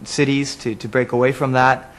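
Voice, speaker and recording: A man's voice: mid-range voice, little pitch movement, normal speed. A man from South Africa, a business student, telling his story reflectively in a confessional interview. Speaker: business student, reality show contestant.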